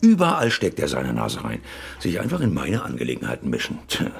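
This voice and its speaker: Gravelly, goading, spiteful man. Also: rumbling low, huge pitch swings, brisk, heavily acted. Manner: insistent, goading, swinging between mutter and outburst. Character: pushy, spiteful male acquaintance, needling and insinuating. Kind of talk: German radio crime drama acting.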